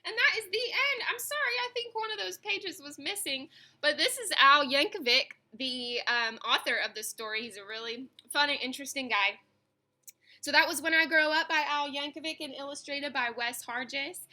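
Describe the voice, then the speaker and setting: A woman reading a children's picture book aloud, expressive, with chatty asides to young listeners. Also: high-pitched, moderate pace. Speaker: read-aloud reader.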